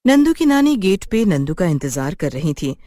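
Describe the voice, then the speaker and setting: A woman's voice: lowish voice, big pitch swings, normal speed, dark tone, dry recording, clean studio sound. A woman narrating a scripted children's story.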